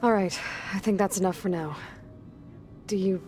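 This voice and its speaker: A woman's voice: medium pitch, fairly even intonation, moderate pace, a little mellow, lightly acted. A woman playing a determined, practical young heroine in a video game, speaking plainly and directly. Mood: questioning. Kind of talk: scripted video game acting. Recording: music behind the voice.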